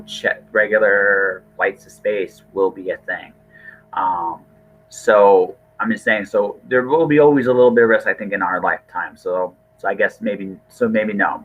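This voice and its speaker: Husky man on a phone-like line. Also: on the low side. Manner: rambling, repeats and rephrases himself. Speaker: podcast host. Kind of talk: casual interview chat. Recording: thin, phone-like call audio.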